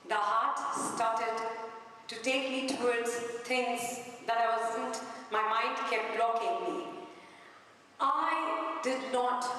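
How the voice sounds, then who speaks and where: A middle-aged woman from India speaks very slowly from a stage, weighing each phrase and pausing for effect. Her voice sits a little high. The sound carries a long, roomy echo from a large hall. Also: fairly bright tone. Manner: slow, deliberate, with pauses for effect. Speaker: invited speaker. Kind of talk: inspirational talk on stage. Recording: echoey hall, far from the mic.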